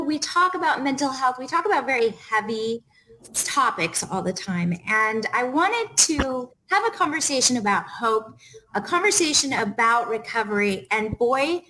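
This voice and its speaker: A woman's voice: high-pitched, pushed and bright, a little hiss or hum, low-quality recording. A woman co-hosting a live video call interview, encouraging and leading her guest with questions. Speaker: co-host from a recovery center. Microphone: video call webcam.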